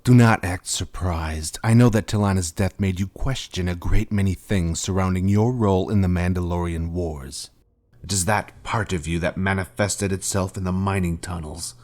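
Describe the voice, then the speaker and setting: An actor playing a vengeful fallen Jedi master in a video game, cold and commanding, with grave, deliberate, formal speech. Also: male voice, low voice, fairly even intonation, a little mellow, natural voice. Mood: grave, accusing. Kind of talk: scripted video game villain dialogue.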